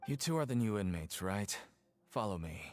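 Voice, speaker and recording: An actor playing a gruff, jaded prison inmate working as a guide, curt and mercenary, in a video game. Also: male voice, fairly low pitch, animated, normal speed, close to an everyday voice. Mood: curt, irritated. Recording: game music behind the voice.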